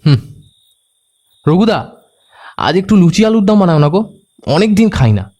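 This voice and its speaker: A man's voice: sits high, very animated, measured pace, mellow, full character voice. A man playing a confident, secretive young detective in an audio drama, with a knowing, conversational manner. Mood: cheerful. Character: confident, secretive young male detective among his friends.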